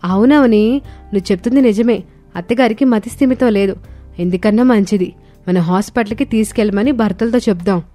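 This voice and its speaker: A woman's voice: medium pitch, fairly quick, warm tone, close to an everyday voice. A woman voicing a silly, earnest young daughter-in-law in a cartoon family story. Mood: conspiring. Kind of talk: cartoon moral-story dialogue. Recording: music under the voice.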